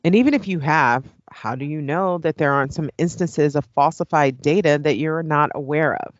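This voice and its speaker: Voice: mid-range voice, no echo, dull audio, close to an everyday voice. A performer playing a persistent, skeptical debater in an audio play, asking probing, insistent and articulate questions.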